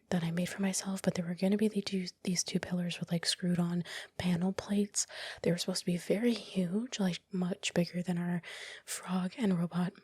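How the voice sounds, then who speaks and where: A woman speaks in a soft, breathy ASMR whisper, very close to the mic with no room sound. Her voice sits slightly low. She narrates casually and without hurry, pausing as she finds her words. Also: no echo. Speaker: ASMR creator narrating her time-lapse. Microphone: close microphone, dry voice-over.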